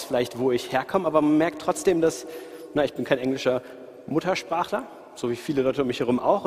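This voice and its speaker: A man from the Ruhr area with a light Ruhr accent, preaching in a relaxed, conversational way and talking straight to his listeners. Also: fairly high pitch. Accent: light Ruhr German. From Ruhr area, Germany. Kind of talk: sermon. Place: church hall, live audience and online stream. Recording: reverberant hall.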